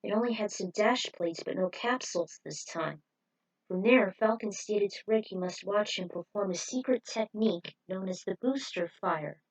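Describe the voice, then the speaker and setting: A woman's voice: mid-range voice, moderate pace, low-quality recording. A woman reading fan fiction aloud as a narrator in an amateur storytelling style.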